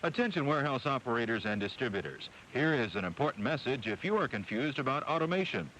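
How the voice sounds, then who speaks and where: A man's voice: mid-range voice, big pitch swings, quick pace, fairly bright tone, poor audio. Male radio announcer with faint hiss. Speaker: commercial announcer. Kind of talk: scripted radio advertisement. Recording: hissy old AM radio ad.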